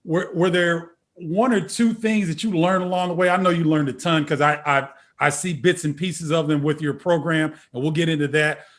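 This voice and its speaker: A man's voice: a little high, steady tone, normal speed, hardly any pauses. A man hosting an interview show, asking long questions and giving short affirmations. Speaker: show host.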